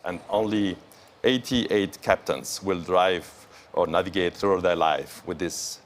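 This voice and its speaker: A man presenting watches on a morning show, speaking carefully and admiringly with pauses between phrases. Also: sits low, fairly even intonation. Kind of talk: live show product walkthrough.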